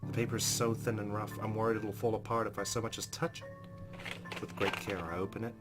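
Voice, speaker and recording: A man's voice: fairly low pitch, little pitch movement, a little fast, music in the background. A man hosting a let's play, reading a visual novel aloud with dramatic, jittery asides.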